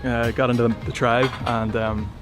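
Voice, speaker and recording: A young man, telling his story in a relaxed, chatty way. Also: medium pitch, moderate pace, music in the background. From Ireland. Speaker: agency owner. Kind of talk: casual storytelling.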